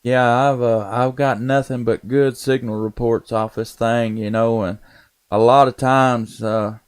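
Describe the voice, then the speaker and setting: A man's voice: mid-range voice, fairly even intonation, a little slow, clean tone. A man with a Southern US accent making folksy, casual conversation as a ham radio operator. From Tennessee, United States. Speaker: amateur radio operator and live streamer.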